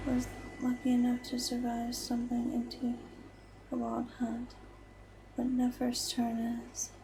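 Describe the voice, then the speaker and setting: A woman's voice: on the high side, completely flat delivery, slow pace, noisy background. An actress playing a serious, observant young woman investigator in a video game. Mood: probing. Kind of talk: video game character acting.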